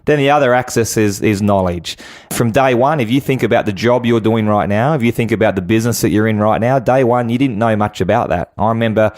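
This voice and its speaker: A man's voice: lowish voice, fairly quick, darkish tone. A man giving earnest, exhorting motivational advice as an interview guest. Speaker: guest business coach.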